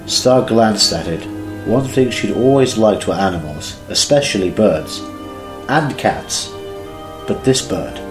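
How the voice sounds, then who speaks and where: A man's voice: lowish voice, animated, slow. A man reading scripted narration for an amateur audio drama. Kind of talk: scripted fan-fiction narration. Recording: echoey room with faint music.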